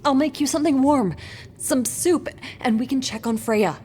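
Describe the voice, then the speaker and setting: A woman's voice: sits high, normal speed, darkish tone, a little hiss or hum, close to an everyday voice. An actress playing a concerned, soothing townswoman looking after a friend in a video game drama.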